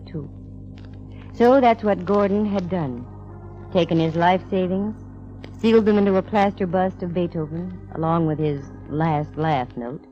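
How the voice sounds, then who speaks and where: An American actress playing a sharp female private eye in 1940s radio drama, explaining things with dramatic, measured pauses. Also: lowish voice, close to an everyday voice. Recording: dull vintage radio recording with music.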